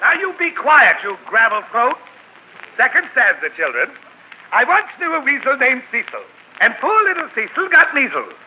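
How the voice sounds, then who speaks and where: A man's voice: very high voice, wildly swooping pitch, moderate pace, sharp tone, husky, full character voice, poor audio. A man playing a pompous, easily flustered poet who sputters, in 1940s radio acting on an old hissy broadcast.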